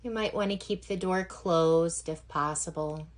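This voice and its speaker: Slow, low-voiced woman guiding meditation. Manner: gentle, unhurried, with long pauses. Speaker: yoga nidra instructor. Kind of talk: guided meditation instruction.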